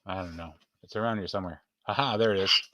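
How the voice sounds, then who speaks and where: A man's voice: sits low, quick pace, muffled recording, very noisy. A man hosting a casual vlog, chatting upbeat and playful as he shows off his collectibles to the camera. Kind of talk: casual show-and-tell chat to camera.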